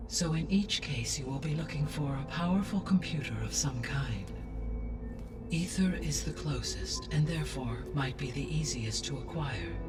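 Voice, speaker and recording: A man playing a calm, formal artificial intelligence in a video game, with precise, measured diction. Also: a little high, distant mic, lightly acted. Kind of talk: video game cutscene dialogue.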